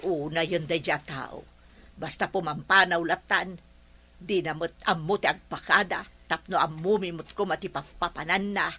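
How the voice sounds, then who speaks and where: A woman's voice: on the low side, steady tone, moderate pace, bright, forceful tone, slightly rough. A woman playing a stubborn, defensive mother who complains about her son in a radio comedy drama. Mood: complaining.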